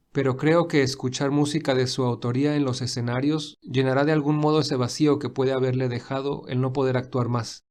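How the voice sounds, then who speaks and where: A caring gentleman in love acted by a man speaks politely and with measured sincerity. His voice sits at an average male pitch, suited to the gentle hero of an audio romance. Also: lightly acted. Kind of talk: dramatized romantic fan fiction.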